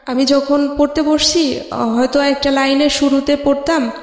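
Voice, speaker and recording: An interview guest from Bangladesh telling a personal life story in a hesitant, halting way with frequent pauses. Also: high-pitched, level tone. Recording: echoey hall with long reverb.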